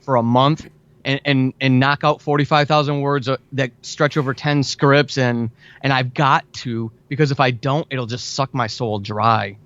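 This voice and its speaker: A man's voice: mid-range voice, steady tone, moderate pace, fairly bright tone, dry recording, dull audio. An American man talking chattily and with enthusiasm as a guest in a conversational interview, repeating words for emphasis. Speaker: podcast guest, audio drama writer.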